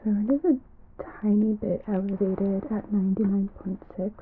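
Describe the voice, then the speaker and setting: A woman giving calm, gentle clinical instructions as a doctor in an ASMR examination roleplay, often dropping into a soft whisper. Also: medium pitch, moderate pace, phone-quality sound, slight background noise. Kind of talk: ASMR medical exam roleplay.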